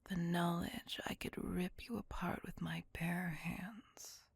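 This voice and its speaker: Woman doing asmr vampire lady, centuries old, super breathy whispering with some gravel in it, dark n possessive, old fashioned wording. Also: on the low side, steady tone, dry recording, heavily acted. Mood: menacing, intimate. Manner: slow, formal, archaic, menacingly tender. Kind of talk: intimate ASMR roleplay.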